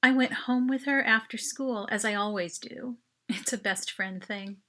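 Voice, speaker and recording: A woman reading a first-person short story as its author, in an expressive, dramatic narration style. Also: a little high, measured pace.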